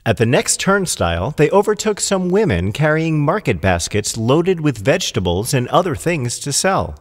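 A man narrating a scripted storybook tale in an expressive, deliberate storytelling manner. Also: fairly high pitch. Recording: long hall-like reverb.

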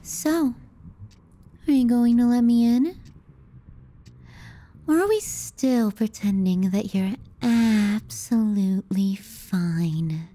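A woman playing a teasing, possessive, sardonic younger sister in an intimate ASMR roleplay, speaking softly and breathily, often near a whisper, close to the mic. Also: fairly high pitch, slow, long pauses. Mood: teasing, mocking.

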